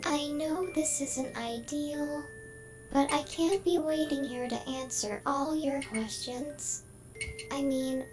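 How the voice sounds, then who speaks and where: A synthetic-sounding female voice playing a lonely AI trapped inside a computer in a game, polite, earnest and oddly emotional. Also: high-pitched. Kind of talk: game character dialogue. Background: game music.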